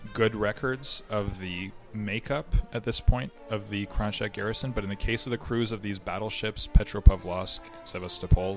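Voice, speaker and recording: A very deep-voiced man talks through history in a relaxed, conversational way. He hesitates often, restarting phrases and filling gaps with ums and uhs. Faint music sits quietly behind his voice. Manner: conversational, many fillers and restarts. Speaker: history podcast host. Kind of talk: casual explanatory history talk.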